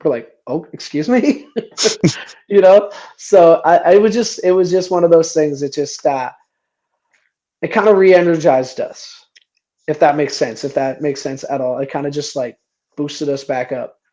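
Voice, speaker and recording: A man's voice: a little high, measured pace, warm tone, lo-fi sound. A man in his forties from Canada, talking casually as a band member guest in a radio interview, rambling with many restarts and easy laughs. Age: 40s.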